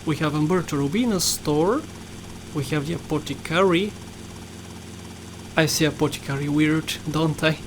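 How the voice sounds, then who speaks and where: A man's voice: a little high, normal speed, pauses often, noisy background. A man with a Bulgarian accent giving casual, playful gameplay commentary, chatting to viewers and often laughing at himself. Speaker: gaming YouTuber.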